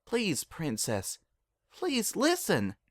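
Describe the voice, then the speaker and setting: A man's voice: sits high, wildly swooping pitch, drawn-out words, dry recording, studio quality, pitched above the performer's own voice, exaggerated voice. A man voicing a stubborn, anxious female farm pony with folksy country phrasing. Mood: pleading.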